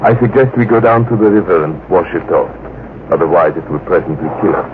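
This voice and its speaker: An actor playing a learned expedition professor, measured, precise and grave, in vintage radio drama style. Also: male voice, low voice, fairly even intonation, natural voice. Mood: solemn, explanatory. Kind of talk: 1940s-50s radio drama acting. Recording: tinny, noisy old radio broadcast.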